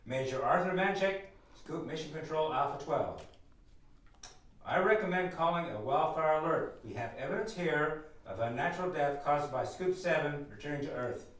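An actor playing a brisk, commanding army major in a radio drama. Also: male voice, on the high side, expressive, fairly bright tone. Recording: very echoey, distant, faint music.

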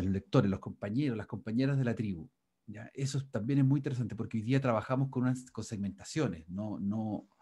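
A man's voice: mid-range voice, mellow, slightly rough. A man giving a university lecture over a video call. He rambles with many restarts and fillers and keeps checking in with the class. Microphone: video call microphone.

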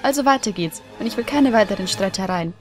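A woman's voice: medium pitch, expressive, fast talker, close to an everyday voice. A woman playing a pony schoolteacher lecturing foals in an audio drama, instructive and firm. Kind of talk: fan audio drama acting. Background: faint music.

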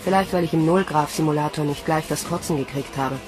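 An actress playing a wry, plain-spoken young newcomer recruit in a science fiction radio drama. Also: female voice, deep voice, fast talker, music in the background, close to an everyday voice. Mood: wry, reflective. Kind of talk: radio drama narration and dialogue.

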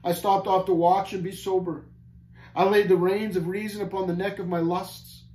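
A man's voice: sits high, little pitch movement, normal speed, dark tone, pitched above the performer's own voice, lightly acted. A man voicing a despairing, guilt-tormented prisoner in an audiobook story, moaning in anguish. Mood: bitter despair. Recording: roomy echo, faint background noise.

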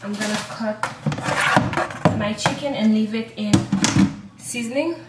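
A woman of 24 from Aruba chatting casually to camera in a halting way as she vlogs at home. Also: fairly high pitch. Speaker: vlogger. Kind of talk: casual vlog chat to camera. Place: indoors at home, hard-walled kitchen and rooms. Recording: echoey room, some background noise.

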